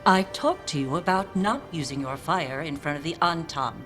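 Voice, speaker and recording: A protective mother in a fantasy game. She speaks formally and sternly in measured phrases, over faint music. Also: sits low, a bit bright.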